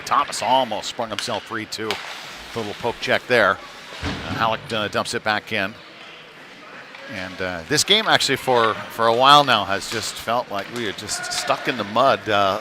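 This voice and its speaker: Man calling hockey over rink noise. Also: lowish voice. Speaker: hockey play-by-play commentator. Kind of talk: live sports commentary. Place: indoor ice rink during a game. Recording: noisy rink sound behind the voice. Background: clear venue noise.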